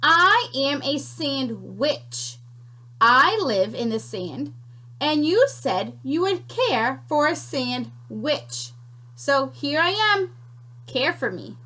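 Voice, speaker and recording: A woman voicing a small, bossy female sand creature in a storybook read-aloud, speaking slowly with a crackly voice. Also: high-pitched, very animated, bright, forceful tone, heavily acted. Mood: smug. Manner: slow and drawn out.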